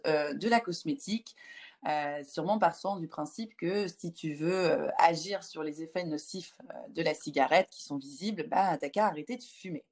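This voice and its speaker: Female, 20s, slightly low pitch. Founder-guest in a conversational interview, halting with frequent filled pauses. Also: darkish tone. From Lyon, France.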